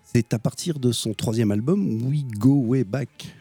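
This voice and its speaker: Male French radio host, deep husky voice, hesitant and full of fillers, dry studio sound. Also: unhurried, warm tone, dry recording, faint music behind. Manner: hesitant, many fillers and restarts. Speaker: radio presenter. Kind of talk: casual radio chat about music.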